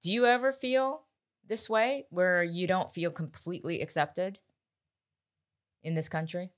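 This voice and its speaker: American lady in her 50s, pretty deep voice, hosting a chat show, candid and emphatic. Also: a little slow. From Ohio, American Midwest. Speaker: talk show host and journalist. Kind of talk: conversational panel discussion.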